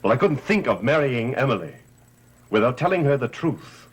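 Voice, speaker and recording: A man's voice: medium pitch, very animated, moderate pace, breathy edge. A man acting a guarded, intense, cursed heir in a gothic television soap, with an earnest, questioning, dramatic delivery. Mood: troubled, probing.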